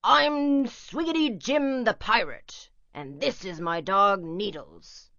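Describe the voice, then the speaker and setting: A woman's voice: medium pitch, takes it slowly, bright, forceful tone, dry recording. A woman voicing a jaunty old male ghost pirate who talks in a folksy drawl with rhyming patter. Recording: slightly dull lo-fi recording, a bit distant.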